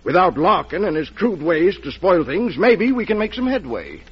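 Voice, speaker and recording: An actor playing an eager, scheming brother-in-law, insistent and ingratiating, in an old-time radio drama. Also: male voice, high voice, big pitch swings, moderate pace, loud music behind the voice. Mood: anxious, scheming. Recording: dull old radio broadcast.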